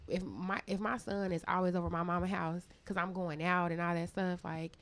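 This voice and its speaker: A woman's voice: lowish voice, little pitch movement, a little fast, fairly bright tone. A woman talking casually in a group chat, blunt and emphatic, swearing and cutting in. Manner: blunt and emphatic, swears, talks over others. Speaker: bartender, podcast co-host.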